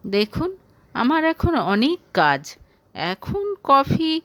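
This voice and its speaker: A woman's voice: high-pitched, huge pitch swings, very slow, fairly bright tone, no echo, voice pushed up, full character voice. A woman voicing a reserved, polite young female office employee in an audio story. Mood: hesitant.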